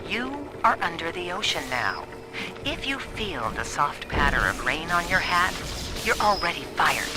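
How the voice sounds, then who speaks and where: Brash, animated blue-collar instructor. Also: male voice, a little high. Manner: wry, bossy, blunt. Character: brash, sardonic working-class male instructor, gruffly condescending. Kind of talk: scripted video game monologue.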